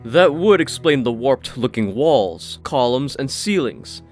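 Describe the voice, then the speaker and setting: A man narrating a scripted fantasy story, expressive, with wry asides. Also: mid-range voice, a little slow. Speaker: audiobook narrator. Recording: faint music behind the voice.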